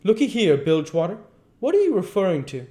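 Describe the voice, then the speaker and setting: A man's voice: on the high side, lively intonation, moderate pace, darkish tone, pitched above the performer's own voice, natural voice. A man voicing a blustering old con man with folksy rural speech in a dramatised storybook reading. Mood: indignant.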